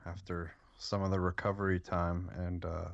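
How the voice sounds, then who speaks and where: A man co-hosting a casual podcast chat, rambling through his story with pauses and restarts. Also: low-pitched, little pitch movement, creaky, muffled recording. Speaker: podcast co-host.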